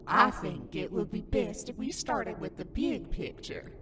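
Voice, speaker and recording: A woman's voice: medium pitch, sing-song, a little slow, background noise. A woman voicing a playful, doting grandmotherly ghost guide in a theatrical, storybook manner for a cartoon fandub. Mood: grand, mysterious. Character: playful grandmotherly ghost sprite in clown garb, mysterious guide. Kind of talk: fandub character acting.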